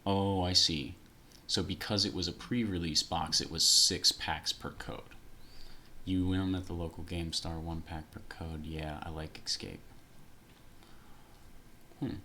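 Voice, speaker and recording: A man with a very low voice chatting casually as he builds a card deck on a late-night stream, thinking aloud with fillers, picked up by a sensitive desk mic. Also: steady tone, a little slow, pauses often, pushed and bright, a little hiss or hum. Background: background music.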